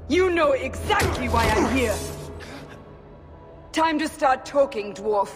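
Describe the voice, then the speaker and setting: A woman's voice: sits high, expressive, slow, long reverb tail, music in the background. An actress playing a stern female church investigator who questions curtly and commandingly. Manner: curt, commanding interrogation.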